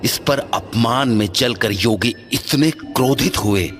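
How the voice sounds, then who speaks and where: A man's voice: mid-range voice, takes it slowly, fairly bright tone, soft background music. A man playing a solemn documentary narrator, telling an ancient legend in a formal, dramatic style. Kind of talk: scripted mythological narration.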